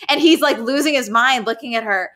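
Young female podcast guest, bright and crisp-edged tone, higher pitch, casual wry book chat. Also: a little fast. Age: young adult. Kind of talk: casual, opinionated book discussion. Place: indoors, living room with couch.

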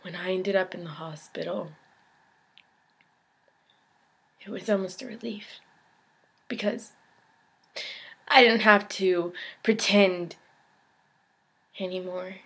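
A woman performing a dramatic monologue as a despairing young woman, speaking in a halting, emotional confession. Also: lowish voice, fairly even intonation, breathy edge, exaggerated voice. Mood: quiet, weary. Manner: halting, emotional, swinging from hushed to anguished. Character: despairing suicidal young woman baring her pain in confession.

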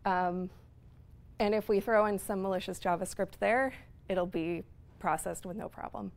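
A woman's voice: medium pitch, fairly even intonation, moderate pace, vocal fry. Woman, 30s, speaker at a tech talk; plain mid voice, explanatory, lots of "um" and "uh", room mic. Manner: explanatory, with frequent fillers. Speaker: conference speaker. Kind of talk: technical talk. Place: lecture room with projector screen.

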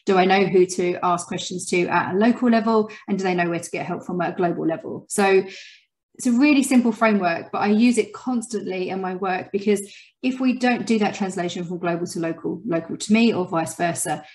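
A woman in her thirties talks through her ideas in a clear, structured way, with a voice on the low side for a woman. She is a guest on a video call from a room at home, speaking into an earphone mic. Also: brisk, darkish tone. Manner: articulate, structured explaining. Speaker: podcast guest, communications consultant. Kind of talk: conversational interview answers. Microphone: wired earphone mic on video call.

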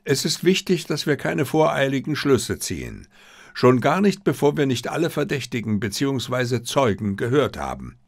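A man voicing a shrewd, composed detective who speaks in a brisk, courteous, probing manner. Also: mid-range voice, big pitch swings, pitched above the performer's own voice. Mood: wry.

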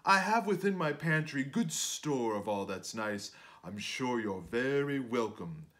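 A man's voice: mid-range voice, sing-song, a little slow, a bit bright. A man voicing a cunning male spider villain, courtly and coaxing, in a storybook read-aloud.

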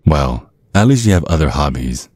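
A man's voice: extremely low pitch, big pitch swings, normal speed, darkish tone, slightly rough, no echo, clean studio sound, heavily acted. A man playing a centuries-old vampire father in an ASMR roleplay, mock-polite and condescending with a sly threat underneath. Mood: veiled threat. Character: menacing, genteel centuries-old vampire father sizing up suitor. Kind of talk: ASMR roleplay, scripted monologue.

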